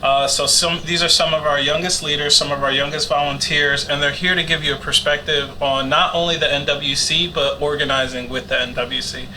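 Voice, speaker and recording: A man's voice: a little high, steady tone, brisk, hardly any pauses, sharp tone, slight background noise, low-quality recording. A man hosting an informal panel interview, speaking in an encouraging, casual way. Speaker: host interviewing young community organizers.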